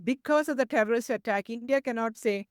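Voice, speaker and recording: A woman co-hosting a discussion, speaking in an indignant, forceful way. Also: fairly high pitch, a little fast, smooth, no room sound, studio quality. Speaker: co-host.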